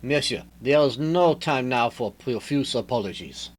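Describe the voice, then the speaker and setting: Casting: a self-assured gentleman detective, French accent, theatrically polite, animated mid-range voice for a radio whodunit. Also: medium pitch, measured pace. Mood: composed, explaining. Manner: formal, precise, theatrically polite, sprinkling French words. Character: suave, clever, courteous foreign male detective, unhurried and self-assured. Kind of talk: old-time radio mystery acting.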